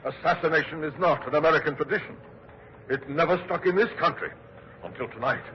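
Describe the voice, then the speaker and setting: A man's voice: fairly high pitch, brisk, natural voice. An actor playing an indignant, grieving statesman with formal, emphatic oratory in a 1940s radio drama. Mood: grave, resolute. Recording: dull, faintly noisy old radio broadcast.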